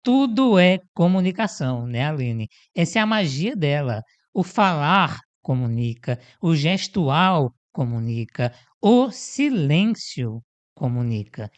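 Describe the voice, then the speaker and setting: A man hosting a podcast interview who speaks expressively and stretches phrases with dramatic pauses. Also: fairly high pitch, big pitch swings, dull audio. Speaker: podcast host.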